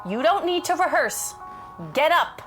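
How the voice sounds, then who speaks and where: An actress as an excitable, doting mother in an audio drama. Her voice is high and swings freely as she bosses and coaxes her grown daughter along. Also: a little slow, soft background music, exaggerated voice. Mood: playfully insistent. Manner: bossy and coaxing, with animated exclamations. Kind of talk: naturalistic audio drama acting.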